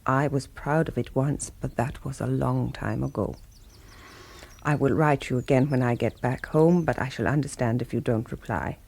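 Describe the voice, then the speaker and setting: An actor playing a courteous, wistful former German wartime agent, speaking in a measured, formal and reflective way. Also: male voice, mid-range voice, gravelly, a little mellow. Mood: wistful, respectful. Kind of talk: radio drama, letter read in voice-over.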